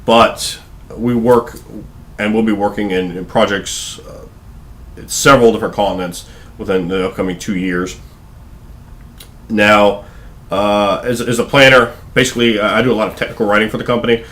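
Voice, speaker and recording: Low-voiced Southern man rambling to camera. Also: fairly even intonation, normal speed, pauses often, a bit bright. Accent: Southern US (Virginia). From Virginia, USA. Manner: rambling, many fillers and restarts. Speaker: vlogger. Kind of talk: casual talking-head vlog. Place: living room at home.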